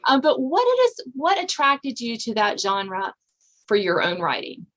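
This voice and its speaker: Woman podcast host, informal and halting. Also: fairly high pitch, sing-song, fairly bright tone. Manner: halting, informal, often rephrasing questions mid-sentence. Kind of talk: casual interview chat.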